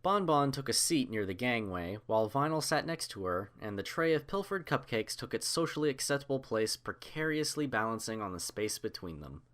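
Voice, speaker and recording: A man's voice: mid-range voice, big pitch swings, brisk, few pauses, fairly bright tone. A man reading scripted fiction as an audiobook narrator.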